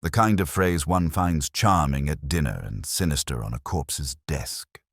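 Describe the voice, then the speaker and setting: A man playing a world-weary older detective, narrating a mystery drama in a measured, literary, wry and melancholy way. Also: extremely low pitch, lively intonation, fairly bright tone, no room sound, studio quality, full character voice. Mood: suspicious, pensive. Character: world-weary, brooding older male English detective narrating his case. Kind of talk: scripted first-person mystery audio drama narration.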